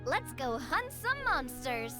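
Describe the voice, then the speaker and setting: A theatrical young woman in a fantasy game. Her voice is high and swings widely as she chats expressively. Faint music sits behind her. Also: slow, exaggerated voice. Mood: thoughtful, playful. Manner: expressive, chatty.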